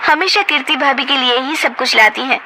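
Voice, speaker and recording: A woman's voice: high voice, animated, normal speed, background noise, voice pushed up. A woman voicing an outspoken young wife who talks back sharply and defiantly.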